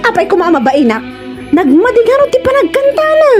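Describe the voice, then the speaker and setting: A woman with an Ilocano accent playing an excitable, complaining village wife in a comedy radio drama, dramatic and exclamatory. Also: very high-pitched, a little fast, soft background music. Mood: indignant.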